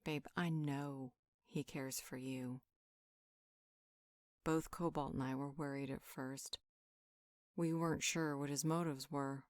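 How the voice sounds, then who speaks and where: A protective, motherly magical healer played by a woman in a roleplay audio drama. Her voice sits low for a woman and is recorded very dry. She talks in an informal, affectionate way, soothing whoever she is caring for. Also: steady tone, slow pace, long pauses, dry recording. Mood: reassuring, reasoning.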